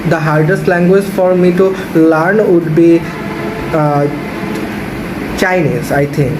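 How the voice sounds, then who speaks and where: A man's voice: fairly high pitch, steady tone, slow. A young Bangladeshi man whose first language is Bangla, answering mock speaking test questions with many hesitations, recorded close on a phone in a small room. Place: small indoor room with curtains. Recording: echoey room with background noise.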